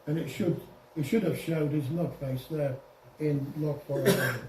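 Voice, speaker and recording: A man giving an informal software demonstration to a group, explaining step by step with some hesitation and self-correction. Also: fairly high pitch, fairly even intonation, unhurried. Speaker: presenter at an amateur radio club. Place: indoor club meeting room. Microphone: distant room microphone. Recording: echoey room, distant mic, faint noise.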